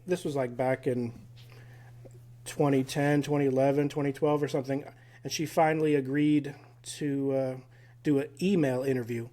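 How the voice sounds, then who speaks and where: A man being interviewed as a guest researcher on a podcast. He pieces his memories together haltingly, with plenty of fillers and restarts. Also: mid-range voice, steady tone, dark tone. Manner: recalls details haltingly with many fillers. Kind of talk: casual podcast conversation.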